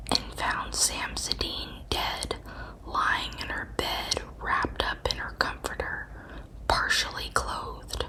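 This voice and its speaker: A woman narrating scripted true crime as ASMR in a breathy, unvoiced whisper, with measured, deliberate storytelling. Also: high-pitched, low-quality recording.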